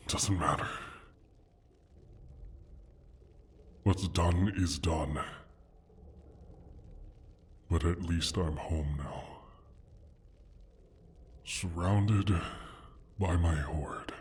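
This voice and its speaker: A man voicing a proud, menacing dragon guarding his hoard in a slow, theatrical fantasy roleplay, with a breathy rumble and a deliberate, condescending manner. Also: darkish tone. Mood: weary, brooding. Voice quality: husky, breathy rumble with whispered edges. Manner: deliberate, brooding, condescending, sighing.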